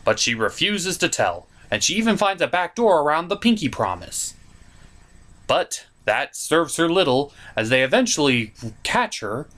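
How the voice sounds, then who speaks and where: Lively theatrical young man reviewing cartoons. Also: a little high, normal speed. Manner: theatrical, jokey, opinionated. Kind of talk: comedic review with voice gags.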